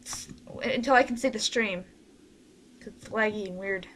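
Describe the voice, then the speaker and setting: Bright-voiced bossy woman chatting online. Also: medium pitch, normal speed. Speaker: host and leader of an amateur radio play group. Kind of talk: casual livestream chat. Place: online voice call. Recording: noisy livestream over voice call.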